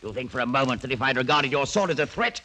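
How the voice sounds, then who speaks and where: A man's voice: mid-range voice, lively intonation, quick pace, bright, forceful tone. An actor playing a sly, gleefully menacing older French nobleman in a radio drama, mock-polite and theatrical as he savors his threats. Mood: silky menace. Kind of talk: 1940s radio drama acting. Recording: noisy old radio recording.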